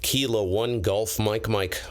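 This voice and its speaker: A man's voice: on the low side, steady tone, slow pace, a little hiss or hum. A man running a ham radio livestream, mixing casual chat with radio calls. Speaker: amateur radio operator live-streaming a contest. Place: cold basement radio room.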